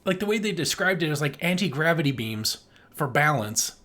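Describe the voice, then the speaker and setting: A podcast co-host with a medium-pitched, very animated voice that swings up and down. The delivery is casual and enthusiastic, with emphatic points and plenty of swearing, like an informal film review. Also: moderate pace.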